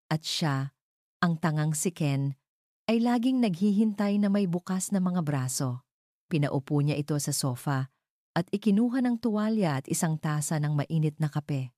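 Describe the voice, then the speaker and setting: A woman narrating a romantic drama from a script in a measured, emotive storytelling manner. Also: low voice, dark tone, dry recording, studio quality.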